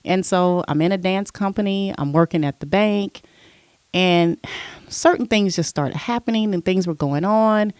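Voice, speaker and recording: A middle-aged woman settles into a long story as an interview guest, her voice sitting at an ordinary middle pitch. She talks easily and at length. The recording is close and dry, with no room sound. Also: measured pace, clean tone, dry recording. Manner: relaxed storyteller, recounting her past at length. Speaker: podcast guest. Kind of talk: casual interview chat.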